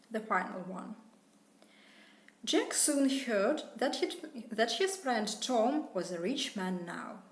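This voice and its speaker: Woman, English tutor reading a bedtime tale, slow and clear, echoey room. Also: on the high side. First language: Russian. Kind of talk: reading a children's fairy tale aloud. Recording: roomy echo.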